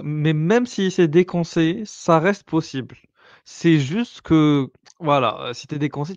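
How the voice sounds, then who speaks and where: A man's voice: on the high side, no echo. A man explaining religious rules in a casual discussion, hesitant, with many fillers and restarts. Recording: dull lo-fi sound, dry.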